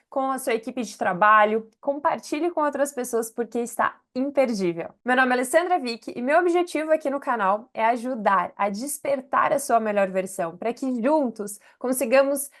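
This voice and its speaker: A woman's voice: sits high, lively intonation, moderate pace, few pauses. Enthusiastic woman hosting an interview channel. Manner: enthusiastic, effusive, inspirational.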